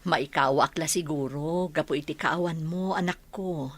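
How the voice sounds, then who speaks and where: A woman's voice: deep voice, normal speed. A woman playing a lonely, ailing, affectionate mother in an Ilocano radio drama, plaintive, with an Ilocano Filipino accent.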